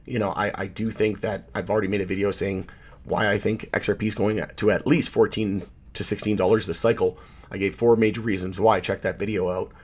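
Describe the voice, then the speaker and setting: A man with a somewhat low, hoarse voice hosts a casual commentary on market charts. He rambles easily, leans on fillers and stops to correct himself mid-sentence. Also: level tone, brisk, darkish tone. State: hoarse, losing his voice. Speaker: channel host, market commentator.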